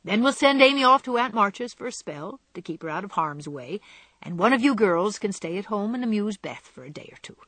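A woman voicing a calm, capable older housekeeper with a plain-spoken, folksy way of talking. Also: mid-range voice, brisk, dry recording, pitched above the performer's own voice. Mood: reassuring.

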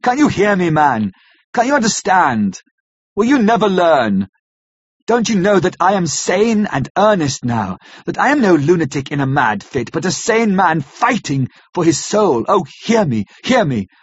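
A frantic male asylum inmate, as a man puts him on, pours out impassioned pleas in a husky, strained voice. The reading sits higher than a plain narration and leans into desperation. The recording is dry and slightly muffled. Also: big pitch swings, a little slow, dry recording, full character voice. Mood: desperate pleading. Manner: pleading, impassioned, imploring.

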